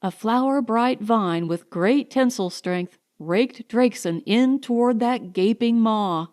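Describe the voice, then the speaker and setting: A woman narrating a science fiction audiobook in a measured, deliberate, dramatic way. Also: a little high, a little mellow.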